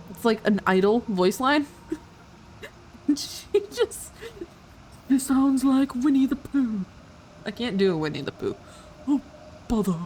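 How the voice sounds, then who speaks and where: A woman streamer giving casual, teasing live reactions to a game, often giggly and repeating words for effect. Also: a little high. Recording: faint noise on livestream. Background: game audio.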